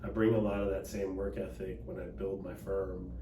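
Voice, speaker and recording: A man from Texas, Cantonese his first tongue, talks in a low, level voice with easygoing fillers. He sounds distant from the mic in an echoey room, with clear background noise underneath. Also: normal speed. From Dallas, Texas. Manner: relaxed, conversational, frequent fillers. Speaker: political candidate and attorney. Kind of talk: casual interview conversation.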